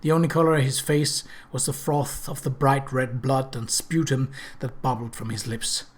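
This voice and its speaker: Male audiobook narrator, mid-pitched, expressive and dramatic with scripted prose. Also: normal speed, slightly rough. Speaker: audiobook reader.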